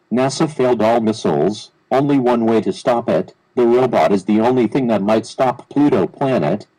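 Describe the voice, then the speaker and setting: A man acts as an official male announcer for emergency broadcasts. The voice is lowish and notably dark, with a stiff, formal, synthetic delivery. Every alert is read out impassively, as a scripted government message. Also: lightly acted, low-quality recording.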